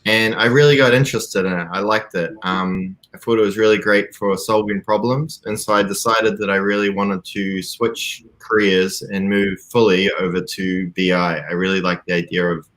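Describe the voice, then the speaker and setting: A young man from New Zealand with a very deep voice speaks over a video call. He talks in a relaxed, reflective way, often pausing on ums and starting over mid-sentence. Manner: thoughtful, frequent ums and restarts. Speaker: interview guest, BI developer. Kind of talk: casual video-call interview.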